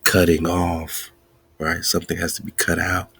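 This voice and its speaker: A man's voice: sits low, monotone, moderate pace, a bit bright, slightly rough. A man hosting a podcast, giving a spiritual teaching talk in a preachy way, repeating and chanting lists and laughing between points. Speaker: podcast host.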